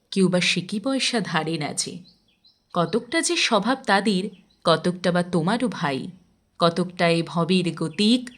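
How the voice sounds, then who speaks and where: A woman reciting a poem expressively, with measured, deliberate phrasing and drawn-out line endings. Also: medium pitch. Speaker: poetry reciter.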